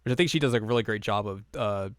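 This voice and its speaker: A man with a fairly low voice co-hosts a casual podcast, rambling through his thoughts with plenty of fillers and laughs. The sound is dry and close. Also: very fast, clean tone, no room sound. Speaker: podcast co-host. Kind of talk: casual chat.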